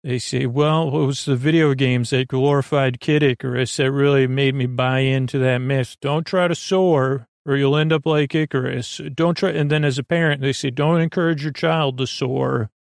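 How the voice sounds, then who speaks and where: Creaky-voiced man rambling a bedtime monologue. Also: medium pitch, steady tone, dry recording, studio quality. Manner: lulling, soothing, halting and digressive. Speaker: podcast host.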